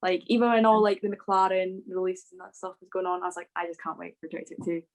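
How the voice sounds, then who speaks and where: A woman's voice: on the low side, fairly even intonation, fast talker. A woman chatting casually as a panellist, with a gushing, fan-like way of talking. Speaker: motorsport podcast panellist. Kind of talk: casual chat.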